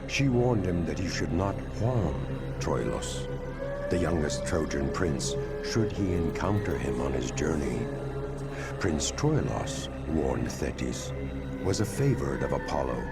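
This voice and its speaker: Very deep, gravelly epic narrator. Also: male voice, full character voice. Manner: solemn, portentous. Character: grave, ominous storyteller of ancient Greek legend. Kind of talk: scripted epic game narration. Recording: loud music over voice.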